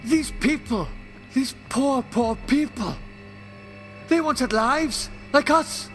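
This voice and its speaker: A man's voice: extremely high pitch, slow pace, heavily acted. A man playing an indignant, grave alien time traveller in an impassioned, declamatory audio drama style. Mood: anguished, outraged. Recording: fan audio play under loud music.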